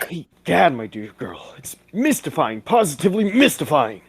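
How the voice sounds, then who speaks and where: A theatrical gentleman sidekick in an old radio drama. His voice is high and swings widely, with a husky edge. He speaks in pompous, flowery exclamations. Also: very animated, normal speed, no room sound, full character voice. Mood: mystified, dramatic. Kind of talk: 1940s radio play acting.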